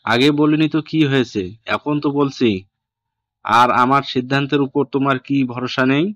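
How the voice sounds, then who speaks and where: A man playing a strict middle-aged father in an audio story, speaking in a firm, measured, unyielding way. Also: mid-range voice, steady tone, a bit bright, lightly acted. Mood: stern, disappointed. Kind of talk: Bengali audio story acting.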